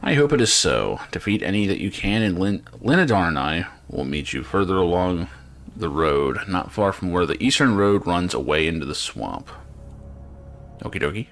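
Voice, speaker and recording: A man's voice: low-pitched, very animated, normal speed, fairly bright tone. A man doing casual gameplay commentary and reading quest text aloud, with dry, muttered, sweary asides. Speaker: game streamer.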